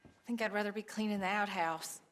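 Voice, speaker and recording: A woman's voice: mid-range voice, fairly even intonation, a little fast, fairly bright tone, close to an everyday voice. An American woman playing a plain-spoken frontier sweetheart in a video game, wry and teasing. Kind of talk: video game acting, casual banter.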